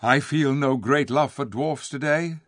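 A man voicing a proud, noble king in a storybook reading, speaking in a formal, measured, old-fashioned manner. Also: medium pitch, no room sound, studio quality, voice pushed up, lightly acted. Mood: courtly. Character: noble young king, courtly, proud and stern.

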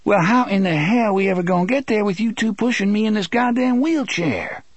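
A man voicing a truculent, sassy woman in an audiobook, sharp-tongued and colloquial. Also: high voice, fairly quick, no room sound, voice pushed up.

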